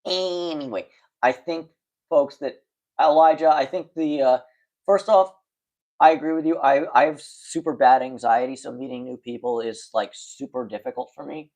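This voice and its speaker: A man with an excited stutter rambles casually to a small stream audience. He restarts sentences often and fills the gaps with "like" and "you know." His voice sits at an ordinary middle pitch. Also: moderate pace, rough recording. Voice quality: stutters and repeats words when excited. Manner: rambling, frank, lots of fillers and restarts. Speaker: livestreamer chatting with viewers. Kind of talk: late-night casual stream chat.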